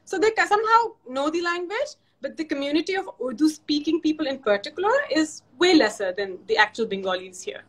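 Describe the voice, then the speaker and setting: A woman's voice: high voice, expressive, fairly quick, hardly any pauses, fairly bright tone. A woman, chatting as an interview guest, laughing often and restarting her sentences. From Pakistan. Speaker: interview guest, fashion designer. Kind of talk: casual video call interview.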